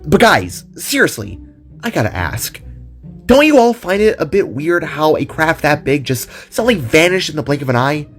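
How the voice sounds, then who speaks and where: An excitable young woman reporter acted out by a man rambles emphatically in an audio drama. The pitch swoops upward and music plays underneath. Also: normal speed. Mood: intrigued, urgent.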